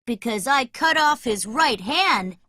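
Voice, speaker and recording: A high, bright boy's voice, recorded close and dry. He is a confident young adventurer in a children's cartoon story, dramatic and used to giving orders. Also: lively intonation, takes it slowly, no echo, exaggerated voice. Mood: grave, boastful. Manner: commanding, dramatic. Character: bold, confident boy adventurer, leader of children. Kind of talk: animated children's story dialogue.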